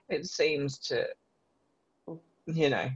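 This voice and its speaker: A woman's voice: deep voice, very slow, husky, muffled recording. A woman co-hosting a podcast, chatting casually with a joking, teasing manner. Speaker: podcast co-host. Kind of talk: casual chat.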